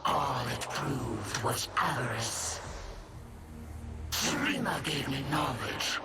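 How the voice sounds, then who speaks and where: A woman's voice: extremely low pitch, big pitch swings, very noisy, exaggerated voice. A woman voicing a menacing, hungry female demon hound who leads a pack in a video game, with a growling voice and layered monster effects. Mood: proud, brooding. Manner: slow, menacing, speaks as "we". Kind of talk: video game character voice lines. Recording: loud music behind game voice clips.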